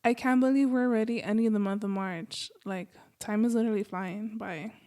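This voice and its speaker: A woman's voice: mid-range voice, steady tone, brisk, mellow. An American woman from Los Angeles hosting a podcast solo, talking in a casual, chatty and easygoing way. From Los Angeles, United States. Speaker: podcast host.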